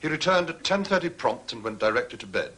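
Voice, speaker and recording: Pompous, bright-voiced butler. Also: male voice, medium pitch, big pitch swings, quick pace. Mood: formal, discreet. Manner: formal, pompous, deferential to authority. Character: smooth, self-important male butler, discreet and condescending. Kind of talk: period detective drama acting.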